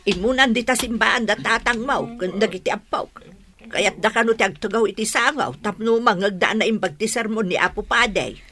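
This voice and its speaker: A woman's voice: mid-range voice, normal speed, fairly bright tone, close to an everyday voice. An actress playing a bossy, scolding mother in an Ilocano radio drama, speaking insistently. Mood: impatient.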